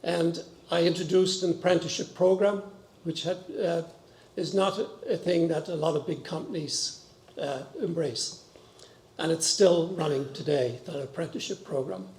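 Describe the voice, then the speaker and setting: High-pitched elderly Irish man in echoey hall. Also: steady tone, normal speed, darkish tone. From Dublin, Ireland. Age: 70s. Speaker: board candidate giving a speech. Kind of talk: personal introduction speech to an audience. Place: large meeting hall with stage, indoors. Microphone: podium gooseneck microphone. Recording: echoey hall, podium microphone.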